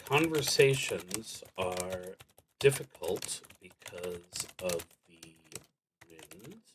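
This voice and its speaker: A man streaming a solo tabletop roleplaying game, thinking aloud and reading out prompts in a halting, deliberate way with long pauses. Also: mid-range voice, a bit bright.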